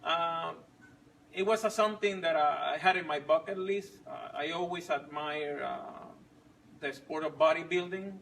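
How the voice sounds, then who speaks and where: A man in his forties, not a native English speaker, telling his story slowly with many pauses and restarts. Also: a little high, gravelly, fairly bright tone, far from the mic, slight background noise, rough recording. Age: 40s. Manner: halting, many fillers and restarts. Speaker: fit club member sharing his story. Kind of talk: casual personal story.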